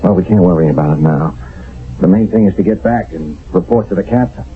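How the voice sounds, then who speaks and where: A man playing a grim, world-weary army sergeant in a radio drama, speaking gravely and plainly with emphasis. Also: sits low, fairly quick, loud music behind the voice, lightly acted. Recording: dull old radio recording.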